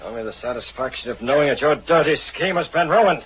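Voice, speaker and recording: An actor playing a commanding, menacing masked vigilante with clipped delivery in a 1930s radio drama. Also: male voice, mid-range voice, lively intonation, quick pace, lightly acted. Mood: menacing, confident. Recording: noisy, narrow-band old radio recording.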